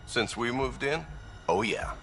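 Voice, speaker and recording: A man's voice: medium pitch, animated, measured pace, a bit bright, soft background music. A man playing a wry, world-weary frontier port worker in a video game, laconic in manner. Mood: wry, casual. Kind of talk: video game cutscene acting.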